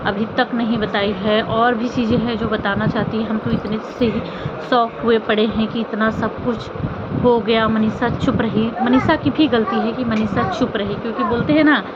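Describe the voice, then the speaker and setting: A woman vlogger giving casual commentary on family drama, rambling and repeating phrases for emphasis. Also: on the high side. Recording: muffled audio with loud outdoor traffic noise.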